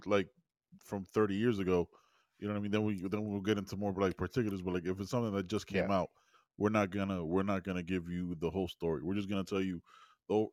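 Lively low-voiced man telling stories. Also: no echo, clean studio sound. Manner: animated storytelling, says "you know what I mean". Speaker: podcast co-host. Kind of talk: casual review chat.

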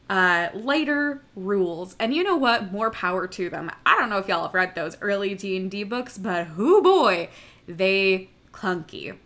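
Bright, animated, playful female presenter. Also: medium pitch. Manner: playful, folksy slangy phrasing. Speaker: podcast segment presenter. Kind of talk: chatty pre-recorded trivia segment.

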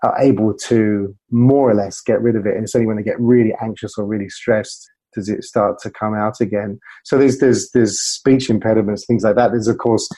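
A man's voice: lowish voice, fairly even intonation, fairly quick, few pauses, mellow, slightly rough, no echo. A man speaking as a communication coach in an interview, explaining things through anecdotes. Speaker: communication coach and former broadcaster.

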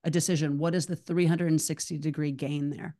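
A woman with a low voice hosts a podcast in easy conversation, chatty and prone to rambling tangents. Her speech is loose and enthusiastic, full of asides. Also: fairly even intonation, brisk, dark tone. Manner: chatty, rambling, self-described tangent talker. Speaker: podcast host.

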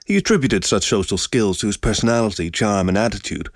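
A man's voice: lowish voice, a little fast, no room sound, clean studio sound. A man reading a scripted story as an audiobook narrator.

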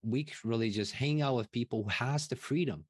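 A man's voice: mid-range voice, moderate pace, breathy edge, no room sound, clean studio sound. A man speaking casually and emphatically as a podcast guest, often checking in with tag questions. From Taiwan. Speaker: interview guest, real estate investor and mentor.

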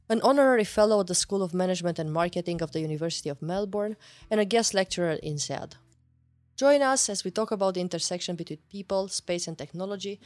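A woman's voice: fairly low pitch, darkish tone, no room sound. Woman podcast host, upbeat and courteous, dry studio sound. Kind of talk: scripted intro, then interview questions.

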